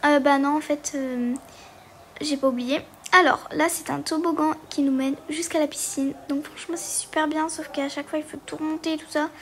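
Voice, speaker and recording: Hesitant, chatty woman with a high voice narrating her gameplay; faint noise in the background. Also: steady tone, moderate pace. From Portugal. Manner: hesitant, many fillers, chatty. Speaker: gaming video creator. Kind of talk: casual game walkthrough commentary.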